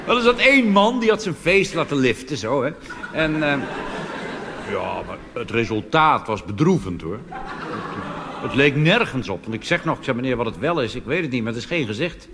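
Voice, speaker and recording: A Dutch man doing a cabaret monologue on stage, delivering deadpan punchlines and jumping into animated put-on voices. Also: medium pitch, normal speed. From Netherlands. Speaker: cabaret comedian. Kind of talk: stand-up monologue. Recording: reverberant hall with audience noise.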